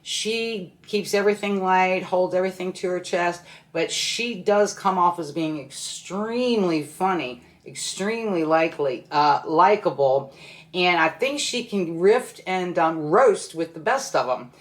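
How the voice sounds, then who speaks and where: A woman's voice: fairly low pitch, a little slow. A woman in her sixties chatting to her viewers as a fashion vlogger, with frequent ums and self-corrections. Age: 60s. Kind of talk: casual talk to camera.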